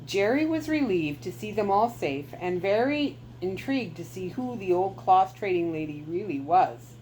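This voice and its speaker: Woman story reader, expressive, mid pitch. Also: moderate pace, slight background noise, rough recording. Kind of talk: reading a children's chapter book aloud.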